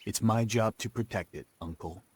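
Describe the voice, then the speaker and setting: An actor playing an earnest, principled young war veteran of Lakota descent, measured and sincere, in a video game cutscene. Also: male voice, fairly low pitch, slight background noise, close to an everyday voice.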